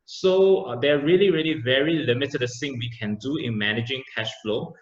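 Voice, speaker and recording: A man's voice: mid-range voice, moderate pace. A man giving an online presentation, hesitating with "uh" and "you know". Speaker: speaker. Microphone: video call. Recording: echoey, dull video call audio.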